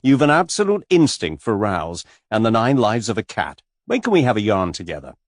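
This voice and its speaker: A man's voice: on the low side, wildly swooping pitch, brisk, no room sound, studio quality, full character voice. A man doing a blunt, hearty old adventurer.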